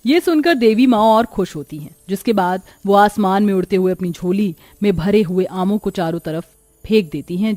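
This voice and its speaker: A woman's voice: medium pitch, mellow, dry recording. A woman narrator reading a scripted story as storytelling narration.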